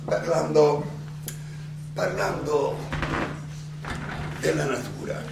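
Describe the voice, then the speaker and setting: A distant, very echoey recording with clear background noise carries an old Athenian philosopher on trial. His voice is high for a man and gravelly. He speaks in a theatrical monologue, hesitating and repeating himself with wry irony. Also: fairly even intonation, over loud music, full character voice. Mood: earnest, protesting. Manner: halting, hesitant, ironic, with repetitions and chuckles. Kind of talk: theatrical stage monologue, conversational oratory.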